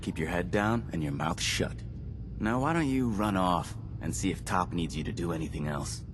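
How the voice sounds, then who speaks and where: A man's voice: deep voice, normal speed, noisy background, close to an everyday voice. An actor playing a bossy, menacing man in a video game, curt and condescending. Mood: dismissive.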